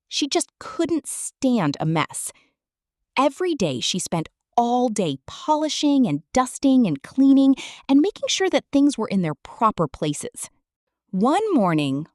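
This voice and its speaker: Very animated woman reading a children's story. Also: a little high, no room sound, clean studio sound. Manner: theatrical, addressing the listener with questions. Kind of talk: children's storybook read-aloud.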